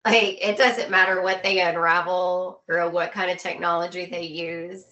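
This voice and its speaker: A woman's voice: on the low side, level tone, normal speed, sharp tone, muffled recording. A woman talking casually and earnestly in an opinionated conversation, often repeating phrases for emphasis.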